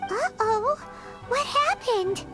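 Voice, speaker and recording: A tiny, childlike fairy companion from a video game. The voice is extremely high and squeaky, earnest and curious. Loud music plays behind it. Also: female voice, big pitch swings, slow pace, heavily acted. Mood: alarmed. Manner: refers to herself by name in third person. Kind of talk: animated video game dialogue.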